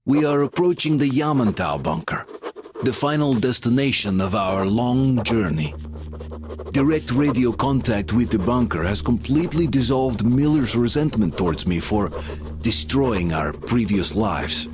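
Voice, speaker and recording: A man's voice: medium pitch, expressive, measured pace, background noise, close to an everyday voice. An actor voicing a reflective, sober male survivor narrating his journey in a scripted game style. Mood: somber, reflective. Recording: music under the voice.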